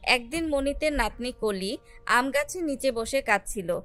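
A woman's voice: high-pitched, animated, unhurried, pushed and bright. A woman narrating a scripted children's story. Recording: music under the voice.

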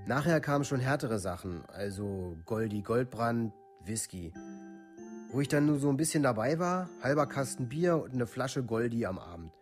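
A man's voice: medium pitch, expressive, normal speed, soft background music, close to an everyday voice. Actor as blunt young village man, matter-of-fact. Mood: casual, reminiscing. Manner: matter-of-fact, plain, unemotional recounting. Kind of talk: documentary radio play monologue, testimony.

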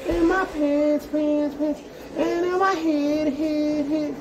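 A woman's voice: high voice, fairly even intonation, takes it slowly, over music, poor audio. A woman voicing a silly, cheeky, foul-mouthed hand puppet in a playful, exclaiming, sing-song way during an improvised puppet show. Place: indoor corner with bookshelves, behind puppets.

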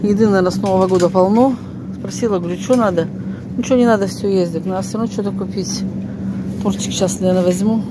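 A woman's voice: fairly even intonation, fast talker. An older woman chatting casually as a vlogger, rambling to herself in a folksy, exclaiming way with playful diminutives. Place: shop, bus, snowy street, flat. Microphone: handheld phone. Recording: noisy handheld recording with vehicle rumble.

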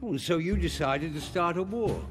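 An actor playing a wry, dignified older man in a video game, speaking in a measured, urbane, dry manner. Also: on the high side, expressive, over music, lightly acted. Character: wry, dignified older male captive hotelier. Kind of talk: dramatic video game acting.

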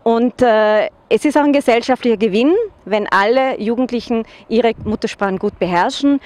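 A woman's voice: mid-range voice, a little mellow. A middle-aged woman teacher from Vorarlberg with an Austrian German accent, speaking earnestly outdoors into a handheld microphone in front of a school. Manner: earnest, measured, with occasional hesitations. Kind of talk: personal testimonial to camera.

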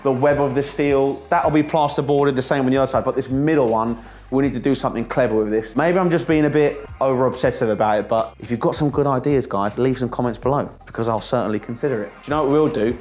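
A man in his thirties talks through his building project in an easy, chatty way. He sounds excited and jokey at a middling pitch. He is recorded on a camera mic in a bare warehouse. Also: fast, hardly any pauses. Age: 30s. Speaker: vlog host. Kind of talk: casual walkthrough vlog. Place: warehouse under construction, indoors and on roof.

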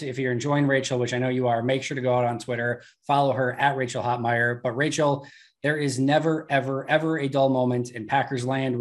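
Upbeat young man hosting a podcast. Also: mid-range voice. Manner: upbeat, talkative. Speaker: podcast host. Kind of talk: casual sports podcast chat. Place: room at home. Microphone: video call.

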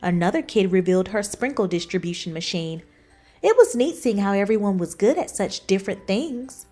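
A woman reading a children's book aloud in expressive storybook narration. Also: medium pitch, moderate pace, faint music behind. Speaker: children's book reader, channel host.

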